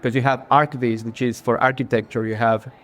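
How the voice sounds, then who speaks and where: A man's voice: medium pitch, level tone, brisk, a bit bright. Ecuadorian-accented man telling his story. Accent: Ecuadorian Spanish. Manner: earnest, with many fillers and restarts. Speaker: guest, creative director. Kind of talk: casual interview on stage. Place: bar, live audience.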